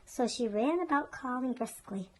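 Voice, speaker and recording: Female children's storyteller, fairly high-pitched, for storybook narration. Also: lively intonation, normal speed, a little mellow, rough recording.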